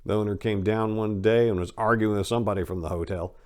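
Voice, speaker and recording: A man from the United States narrates a first-person memoir as a dramatic reading. His voice sits on the low side. He tells it in a gritty, irreverent way, like someone recounting his own rough past. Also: steady tone, fast. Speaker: audiobook narrator.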